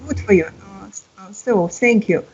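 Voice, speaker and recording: Woman in her fifties, teacher, halting and encouraging, mellow husky tone over dull call audio. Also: mid-range voice, a little hiss or hum. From Russia. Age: 50s. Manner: hesitant, many uh fillers, encouraging. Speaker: English teacher. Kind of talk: online exam-prep lesson. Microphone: video call.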